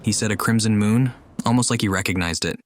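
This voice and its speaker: A man's voice: lowish voice, fast. A man giving casual commentary as he plays through a video game. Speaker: gamer commenting on playthrough.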